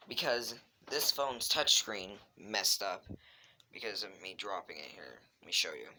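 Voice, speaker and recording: A man with a very bright, forceful voice chats casually to his viewers. It is a rough phone recording with some noise, at an ordinary male pitch. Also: lively intonation, unhurried. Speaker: video uploader. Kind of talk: casual explanatory vlog. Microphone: handheld Android phone. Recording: cheap phone mic, faint noise.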